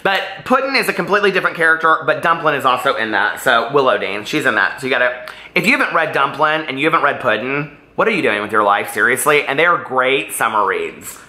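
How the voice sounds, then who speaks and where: Man, book vlogger, crisp bright voice, casual, emphatic, digressive, roomy echo. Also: medium pitch, lively intonation, quick pace. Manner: chatty, gushing and emphatic, often digressing. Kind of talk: casual chatty book-tag vlog.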